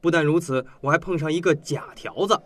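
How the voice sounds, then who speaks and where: An actor playing a cynical teenage high school boy in a radio drama, mocking and flippant. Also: brisk, close to an everyday voice. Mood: flippant, scornful.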